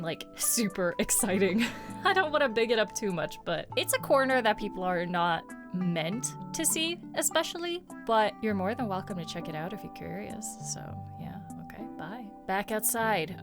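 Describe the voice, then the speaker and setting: A woman giving a casual, chatty narrated tour of her video game island, with playful jokes and asides. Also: fairly high pitch. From Swedish heritage.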